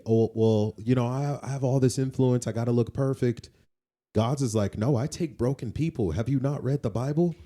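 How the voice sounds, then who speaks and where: A man's voice: fairly low pitch, mellow. A man co-hosting a podcast, talking conversationally in an opinionated, explanatory way and often checking in with the listener. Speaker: podcast co-host.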